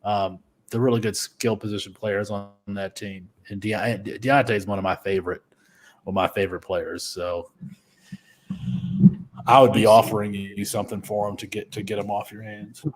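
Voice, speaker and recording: A man's voice: lowish voice, steady tone, moderate pace, rough recording. A man in his thirties co-hosting a casual fantasy football podcast, often restarting and trailing off. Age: 30s. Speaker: podcast co-host.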